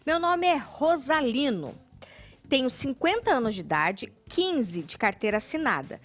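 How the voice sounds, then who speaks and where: A Brazilian woman TV host reading viewers' questions out loud, adding playful comments. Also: sits high, unhurried. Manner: lively, playful asides when reading. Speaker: TV show host. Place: TV studio.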